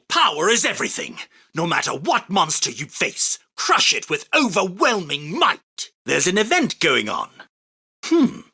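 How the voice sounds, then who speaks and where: A man's voice: sits high, pushed and bright, slightly rough, heavily acted. A male voice actor playing an arrogant, grandiose self-proclaimed king of card duelists, with a pompous, commanding, theatrical delivery for a video game. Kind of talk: video game character battle lines.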